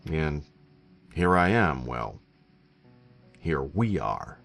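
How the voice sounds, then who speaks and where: A man reading a first-person horror story in a deliberate, theatrical way with dramatic pauses. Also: a bass voice, big pitch swings, creaky, fairly bright tone. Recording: narration over background music. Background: eerie background music.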